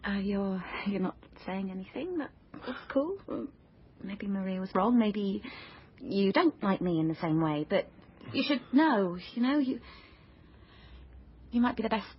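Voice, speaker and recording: An actress playing an impulsive, awkward young amateur sleuth in a naturalistic radio drama, halting and emotionally open. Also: female voice, mid-range voice, expressive, lo-fi sound, slight background noise, natural voice. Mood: nervous, hopeful. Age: young adult.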